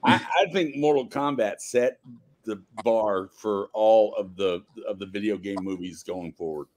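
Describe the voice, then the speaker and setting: A man's voice: fairly low pitch, unhurried, darkish tone. A man appearing as a podcast guest on a video call, giving casual commentary and opinions.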